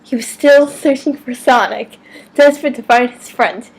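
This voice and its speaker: A woman giving a mock-dramatic amateur story reading, theatrical and deliberate, now and then stumbling over words. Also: high voice, breathy edge, poor audio. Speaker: amateur reader of fan fiction.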